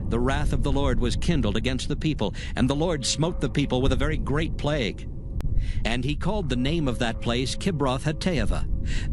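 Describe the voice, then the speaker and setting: A man narrating scripture in a measured, formal reading. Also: medium pitch, poor audio. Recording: music under the voice.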